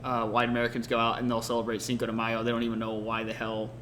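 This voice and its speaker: Podcast co-host, man from Mexico, mid-pitched, earnest and off the cuff, faint hiss behind. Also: monotone, quick pace, fairly bright tone. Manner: earnest, thoughtful, many filler words. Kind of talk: casual unscripted conversation. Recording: faint background noise.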